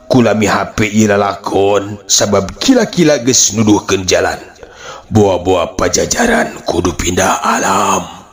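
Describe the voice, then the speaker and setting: A man's voice: low-pitched, unhurried. A man voicing a commanding, solemn king and war leader in a declamatory folk-tale style. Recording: music under the voice.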